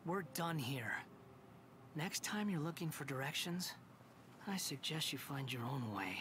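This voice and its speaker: A man's voice: a little high, animated, measured pace, a little hiss or hum. A man playing an arrogant, cruel young wanderer in an anime game, sneering and theatrical. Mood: cold contempt. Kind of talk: anime video game voice acting. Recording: game trailer with loud music.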